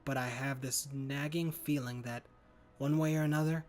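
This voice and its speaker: A man's voice: medium pitch, steady tone, moderate pace, faint music behind. A man reading a visual novel's narration aloud as a gaming host, plainly and correcting his own slips. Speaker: gaming YouTuber.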